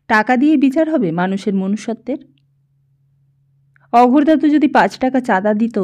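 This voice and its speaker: A woman narrating a literary audiobook in an expressive, reflective storytelling manner. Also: a little high, lo-fi sound.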